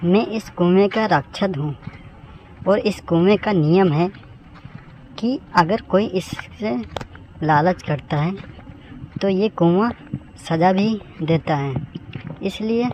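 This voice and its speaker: A man's voice: high voice, slow pace, long pauses, a little mellow. A man voicing a talking dog, kindly but stern guardian of a magic well, in a cartoon moral story, speaking solemnly. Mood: solemn warning. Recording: dull, noisy home recording.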